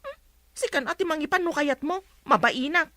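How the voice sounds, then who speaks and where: A woman's voice: sits high, lively intonation, normal speed, sharp tone, no echo. A Filipino woman acting in a radio drama as an exasperated rural wife who scolds and pleads to keep the peace. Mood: reluctant, pleading.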